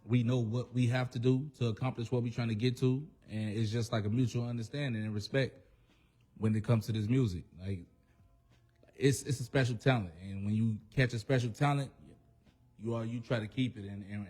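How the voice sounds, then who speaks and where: A man's voice: medium pitch, steady tone, a little fast, warm tone. A man speaking as a guest in a casual radio interview, earnest and reflective, talking through his experience. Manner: earnest, reflective, full of "you know what I'm saying". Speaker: guest rapper.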